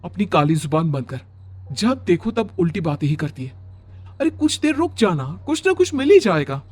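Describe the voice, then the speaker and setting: A man's voice: high voice, sing-song, moderate pace, a little mellow, husky, pitched above the performer's own voice, exaggerated voice. A man voicing a bossy, impatient, unafraid young working woman in a horror cartoon, with music underneath. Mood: scolding. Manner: scolding, impatient.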